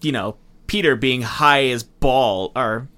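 A man's voice: mid-range voice, takes it slowly, sharp tone, no room sound. A man co-hosting a podcast, chatting informally and profanely about a film. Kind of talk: casual chat, film commentary.

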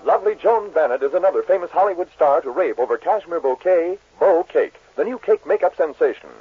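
A man's voice: on the high side, expressive, brisk, faint music behind. An American man reading a scripted 1940s radio advertisement as a commercial announcer, on an old tinny recording.

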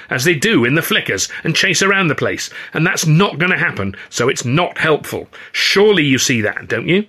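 Argumentative male comic acted by a male narrator, exasperated, emphatic, pitched up, audiobook dialogue. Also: sharp tone, breathy edge, heavily acted. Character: exasperated male music-hall comedian, sharp and reasoning.

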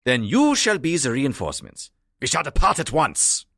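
Pompous German villain, swooping pitch. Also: male voice, wildly swooping pitch, normal speed, a bit bright, no echo, clean studio sound, full character voice. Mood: haughty, commanding. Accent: mock German. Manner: pompous, sarcastic, theatrical. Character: pompous, impatient German military villain, childishly vain, secretly soft. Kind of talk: comedic villain voice acting.

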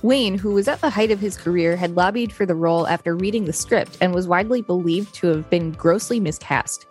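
A woman co-hosting a podcast, reading an article aloud in a plain, measured way. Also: on the low side, darkish tone, faint music behind. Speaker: podcast co-host.

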